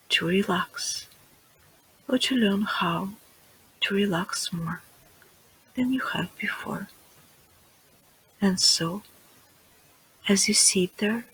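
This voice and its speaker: A guide leading a relaxation meditation in a soft, breathy, hushed voice that often sinks near a whisper, with soothing phrases and pauses. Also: medium pitch, rough recording.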